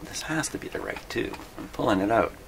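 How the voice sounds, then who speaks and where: A man thinking aloud in an unscripted workbench video, halting and puzzled, muttering to himself in a self-deprecating way. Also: on the low side, lively intonation. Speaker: host of a radio repair video. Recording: clearly noisy hobby video recording.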